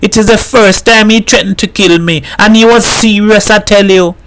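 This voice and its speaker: A woman's voice: steady tone, measured pace, a bit bright, slight background noise, natural voice, rough recording. A man voicing a distraught woman, pleading and tearful in a storybook reading. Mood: frantic. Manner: pleading, tearful, high-pitched. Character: distraught woman, devoted to a cruel lover.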